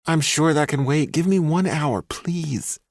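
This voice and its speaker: A man's voice: on the high side, unhurried, no echo, clean studio sound, pitched above the performer's own voice. A man voicing a clingy young male ex-boyfriend who pleads in a whiny, wheedling way. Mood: pleading.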